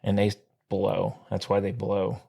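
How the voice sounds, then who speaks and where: A man co-hosting a podcast in casual sports chat, ranting with exasperation and jumping up in pitch when worked up. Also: monotone, a little slow, vocal fry, dark tone. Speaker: podcast co-host.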